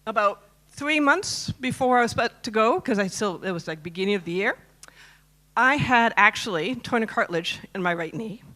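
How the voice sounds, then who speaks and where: A woman's voice: mid-range voice, expressive, moderate pace, pushed and bright. Chatty Canadian woman telling a story on stage. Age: 50s. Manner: chatty, asks the audience questions. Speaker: conference speaker. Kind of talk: personal story talk to a live audience. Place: conference stage.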